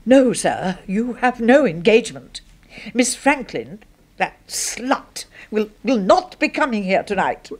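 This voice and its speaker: An actress with an English accent playing an obsessive, murderous secretary in a 1970s radio horror drama, intense and easily flustered. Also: female voice, a little high, big pitch swings, slow, exaggerated voice. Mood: nervous, pleading. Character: obsessive, murderous spinster secretary, timid before her boss.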